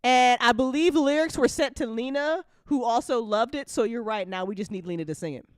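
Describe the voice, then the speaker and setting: A woman chatting casually as an audio drama creator and host, with an animated, sweary, slangy way of talking. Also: on the high side, fairly quick, no echo, studio quality. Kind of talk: casual chat.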